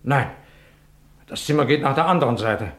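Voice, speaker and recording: Ein Schauspieler spielt in einem Krimihörspiel einen unsicheren, ausweichenden Angestellten, der verhört wird und zögernd antwortet. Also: male voice, medium pitch, animated, moderate pace, fairly bright tone, roomy sound, close to an everyday voice. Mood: guarded, uneasy. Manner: hesitant, guarded. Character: uneasy, evasive dismissed male employee questioned by police. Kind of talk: German radio crime play acting.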